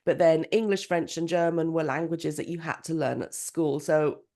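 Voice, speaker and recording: A woman's voice: sits low, normal speed. Enthusiastic woman podcast host. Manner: enthusiastic, expressive. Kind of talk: podcast interview.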